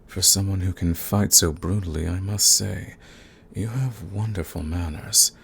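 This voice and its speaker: A man playing a suave, sadistic vampire lord in an ASMR roleplay, courtly and menacing, with a gravelly, husky voice. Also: sits low, a bit bright, a little hiss or hum, exaggerated voice. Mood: courteous, menacing. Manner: courtly, purring, slow and menacing. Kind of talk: ASMR roleplay, scripted monologue to the listener.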